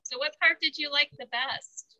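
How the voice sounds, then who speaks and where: A woman's voice: sits high, fairly even intonation, normal speed, sharp tone, poor audio. A woman co-hosting a story time, exclaiming along with the story and asking questions about it. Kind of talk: casual reactions and questions.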